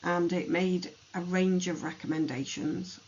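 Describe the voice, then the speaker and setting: A podcast host with a hoarse, gravelly voice from allergies, narrating a true-crime history thoughtfully with many pauses. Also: medium pitch, fairly even intonation, a little mellow, highs cut off, slight background noise.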